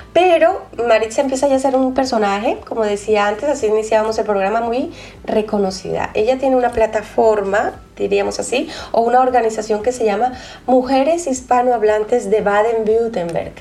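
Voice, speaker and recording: A woman hosting a radio interview in a studio, energetic, laughing often, and talking in quick bursts. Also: fairly high pitch. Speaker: radio host. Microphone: studio microphone.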